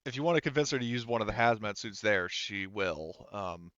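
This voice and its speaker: A man running a tabletop roleplaying game as game master, explaining the scene in halting, unscripted narration. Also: fairly low pitch, lively intonation, fairly bright tone, smooth, dry recording, lo-fi sound.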